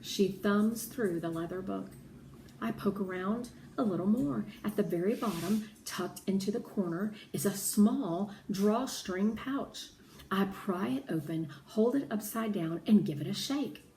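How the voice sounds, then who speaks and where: Slow-paced woman reading a children's book aloud. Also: mid-range voice, dark tone. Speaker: read-aloud narrator. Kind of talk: expressive children's audiobook narration. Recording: roomy echo, faint background noise.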